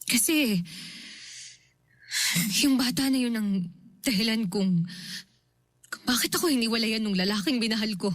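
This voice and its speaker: A woman's voice: on the high side, close to an everyday voice. A woman playing a bitter, defiant younger sister in a Filipino radio drama, petulant and sarcastic. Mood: bitter, wounded. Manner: sarcastic, petulant, drawn-out delivery. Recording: dramatic music bed behind.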